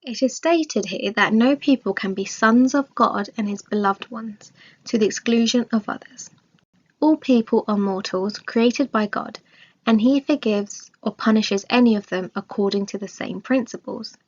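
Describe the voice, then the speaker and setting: A woman reading a scripted religious study aloud in a measured, formal manner. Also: fairly high pitch. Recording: dull, lo-fi recording.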